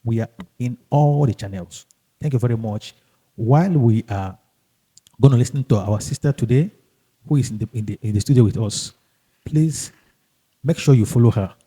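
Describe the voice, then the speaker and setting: A man hosting a podcast in casual, halting and emphatic speech, often repeating himself. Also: medium pitch, dark tone, slightly rough. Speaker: podcast host. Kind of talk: casual podcast introduction and interview chat.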